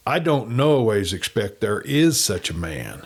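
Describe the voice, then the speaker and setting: A man's voice: on the low side, very animated, unhurried, slightly rough, heavily acted. A man voicing a boastful, stubborn cowboy outlaw, blustering in folksy western talk for an audiobook.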